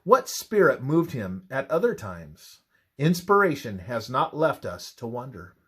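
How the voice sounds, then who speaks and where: Slow, expressive man reading devotional narration. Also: medium pitch, slightly rough. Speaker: narrator reading a religious presentation.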